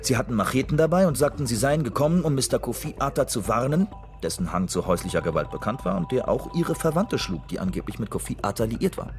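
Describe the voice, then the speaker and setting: An actor playing a shrewd, cynical investigator in a radio drama, speaking in a methodical, matter-of-fact way. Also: male voice, medium pitch, animated, quick pace, over music, natural voice. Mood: methodical, official. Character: shrewd, cynical male investigator reciting a fabricated case report. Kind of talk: radio drama dialogue, reading out a report.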